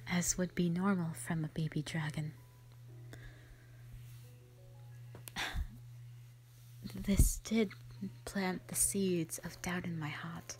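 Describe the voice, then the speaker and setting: A woman acts out an ancient she-dragon, gentle and protective of her kin. Her voice is low and hushed, almost all breath, and it moves very slowly, halting under old sorrow. It plays as an intimate audio drama monologue, with music behind it. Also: natural voice. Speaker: audio roleplay performer. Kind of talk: soft whispered dramatic monologue, ASMR-like.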